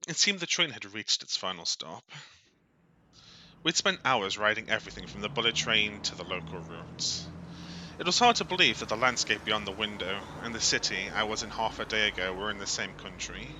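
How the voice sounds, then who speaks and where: A man reading a visual novel aloud on a stream as dramatic, expressive narration, in a theatrical storytelling way. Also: fairly low pitch, moderate pace, noisy background.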